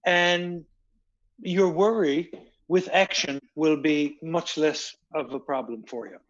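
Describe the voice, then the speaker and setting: Measured male counselor, dull audio. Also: on the high side. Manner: measured, instructive, plain sentences. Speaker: counselor co-host. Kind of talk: calm advice giving.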